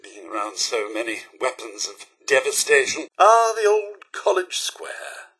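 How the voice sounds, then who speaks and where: An actor playing a polite, fussy, sardonic robot butler in a video game, with a British accent and a prim, theatrical, dryly sarcastic manner. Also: male voice, mid-range voice, sing-song, a little slow, fairly bright tone, breathy edge, heavily acted, poor audio. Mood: wistful, concerned. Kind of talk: scripted game companion dialogue.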